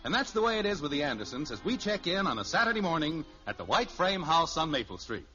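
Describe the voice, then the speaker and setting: A male announcer in 1950s radio style reads scripted narration. His voice is high and lively in pitch. Music plays behind him on a dated recording. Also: quick pace. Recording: dull old radio recording.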